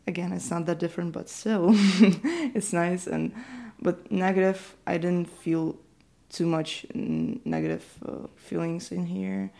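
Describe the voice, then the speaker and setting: A seventeen-year-old woman from Ukraine, a native Ukrainian speaker, answers an interview. Her voice sits low and has a gravelly fry. She hesitates often and fills pauses with "like" and "uh.". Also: dark tone. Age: teens. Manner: hesitant, many fillers and restarts. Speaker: student interviewee.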